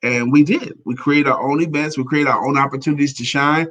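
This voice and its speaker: A man's voice: fairly high pitch, steady tone, a little fast, fairly bright tone. A middle-aged man with an American accent, co-hosting a talk show in a casual conversational style and reading out comments from viewers.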